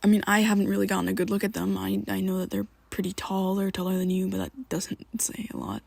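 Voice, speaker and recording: A woman in an ASMR roleplay, talking to the listener as a worried best friend, halting and rambling, often sinking into a soft whisper close to the mic. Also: medium pitch, fairly even intonation, creaky, breathy edge, no room sound. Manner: hesitant and rambling, halting restarts.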